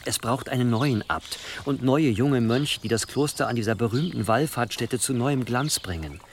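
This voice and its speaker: A man's voice: medium pitch, normal speed, slight background noise, lightly acted. An actor playing a ruthless, scheming churchman who speaks with formal, calculating deference in a German historical radio drama. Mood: sly, persuasive. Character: scheming, obsequious, ruthless churchman advising his bishop.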